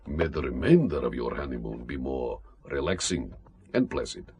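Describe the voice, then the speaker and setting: An actor playing a suave, worldly Russian KGB colonel in a radio spy drama, urbane and deliberate with dry amusement. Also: male voice, a bass voice, lively intonation, noisy background. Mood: cool, measured. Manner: urbane, deliberate, drily amused, formal phrasing.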